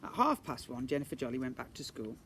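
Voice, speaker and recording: Animated man reading a children's story outdoors. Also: mid-range voice, fast talker, gravelly. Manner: playful, addresses children with questions and asides. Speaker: teacher reading to young children. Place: outdoors in a garden. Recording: faint outdoor background noise.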